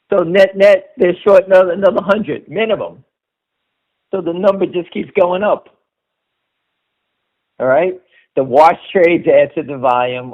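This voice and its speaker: Higher-pitched man, insistent market commentary, muffled audio. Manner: emphatic, insistent, with rhetorical questions and short punchy statements. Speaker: host of a stock-market conference call. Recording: dull, lo-fi conference call audio.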